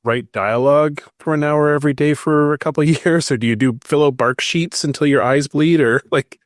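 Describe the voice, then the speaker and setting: Male podcast host, conversational interviewer, medium-pitched voice, dry recording with little room sound. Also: fairly quick, no room sound.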